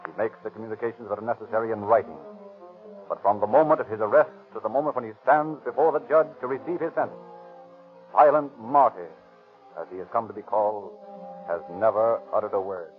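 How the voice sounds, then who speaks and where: A man narrates a 1930s crime drama in a measured, matter-of-fact voice. It sounds like a tinny old radio broadcast with faint music underneath. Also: fairly low pitch.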